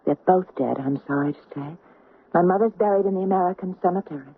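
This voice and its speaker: An actress playing a gracious, candid young bride-to-be in a radio drama, polite and composed. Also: female voice, on the low side, fast talker, husky, narrow, tinny audio. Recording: band-limited old radio recording.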